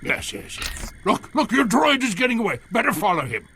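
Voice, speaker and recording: An actor voicing an eager alien droid merchant with a gravelly voice and clipped, broken, repetitive phrasing for a game. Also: male voice, very high-pitched, very animated, normal speed, fairly bright tone, a little hiss or hum, exaggerated voice. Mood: eager haggling.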